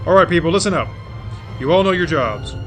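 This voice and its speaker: Man as a sardonic commander, slightly high-voiced. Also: animated, brisk, music in the background, natural voice. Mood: rallying, commanding. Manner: sardonic, authoritative. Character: gruff, skeptical male field commander. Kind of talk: audio drama acting.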